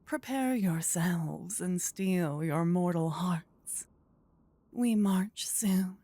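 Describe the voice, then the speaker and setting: A woman's voice: lowish voice, drawn-out words, mellow, no room sound, full character voice. Woman acting a playful, bloodthirsty demon queen. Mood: gloating, rallying. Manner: theatrical, teasing, gloating. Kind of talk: fantasy audio roleplay, ASMR.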